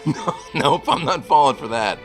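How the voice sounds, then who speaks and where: A man's voice: on the high side, expressive, normal speed, over music, close to an everyday voice. A man playing an awkward, earnest, wisecracking young superhero in a scripted table read. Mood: wary. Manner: stammering when flustered.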